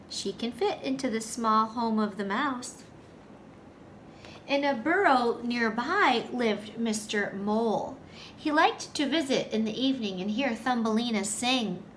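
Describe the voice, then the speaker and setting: A woman with a high-pitched voice tells a story to young children as their teacher. She goes slowly, phrasing things simply and putting questions to the listeners. There is faint noise behind her. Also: fairly bright tone. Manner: simple, deliberate phrasing aimed at small children.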